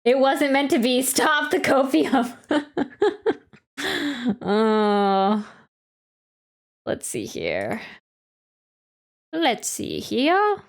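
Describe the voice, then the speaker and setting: A woman's voice: high voice, big pitch swings, very slow, long pauses. A woman game streamer chatting casually and reacting on a livestream.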